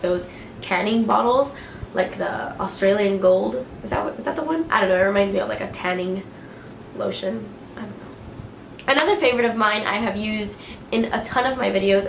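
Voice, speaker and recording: A woman's voice: mid-range voice, creaky, slight background noise. Stuffy-nosed woman chatting about makeup. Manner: chatty, lots of "like" fillers. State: congested with a cold. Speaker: beauty vlogger. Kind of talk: casual product review. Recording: echoey room, faint ambience.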